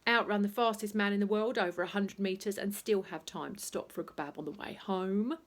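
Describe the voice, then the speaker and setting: A woman reading a children's bedtime story aloud in an expressive, playful way, acting out noises as she goes. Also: medium pitch, brisk. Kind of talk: animated bedtime story reading with sound effects and asides.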